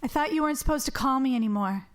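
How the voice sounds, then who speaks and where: A guarded, weary woman haunted by her past, played by an actress in a radio drama. Her voice sits a little high, hesitant but firm, with clear background noise around it. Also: very fast, close to an everyday voice. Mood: guarded, exasperated. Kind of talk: audio drama acting.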